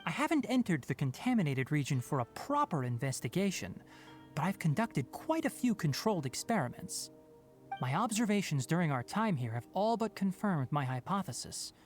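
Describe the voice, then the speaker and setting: Young male researcher, exacting, scholarly, measured, expressive pitch, video game dialogue, music behind. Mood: calm, clinical. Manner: measured, precise, explanatory. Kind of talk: scripted video game voice acting.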